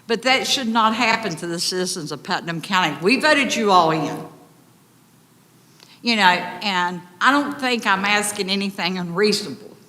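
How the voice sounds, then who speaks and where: An upset woman with a big, loud voice, pleading urgently as a resident at a public meeting. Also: mid-range voice, animated, normal speed. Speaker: resident addressing county commissioners. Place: county commission meeting room. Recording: echoey hall, distant reverb.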